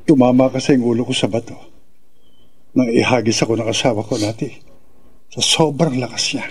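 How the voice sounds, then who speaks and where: A man acting a desperate husband of a possessed wife, pleading earnestly with a husky voice in a radio drama. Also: medium pitch, fairly even intonation, fairly quick.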